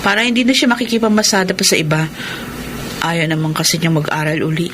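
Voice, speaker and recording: An actress playing a dutiful, protective young eldest daughter in a Filipino radio drama, speaking in an earnest, respectful way. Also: female voice, on the low side, steady tone, fast, natural voice. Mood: earnest, reassuring. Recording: noisy radio drama audio.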